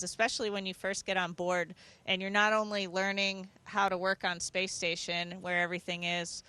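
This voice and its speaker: Woman astronaut talking from a space station, really bright forward voice, explains stuff earnestly, chatty interview. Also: medium pitch. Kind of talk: thoughtful conversational interview answers.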